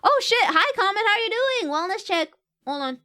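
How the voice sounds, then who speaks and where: A woman streaming, chatting casually and rambling with fillers, letting her pitch swing with her mood. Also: animated, fairly quick, bright, forceful tone.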